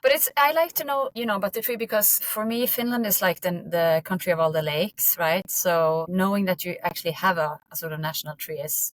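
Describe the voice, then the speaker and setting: A woman's voice: on the low side, brisk, few pauses, low-quality recording. A woman from Norway co-hosting a podcast, enthusiastic and hedging her phrases with sort of and you know. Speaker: podcast co-host. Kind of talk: casual interview talk.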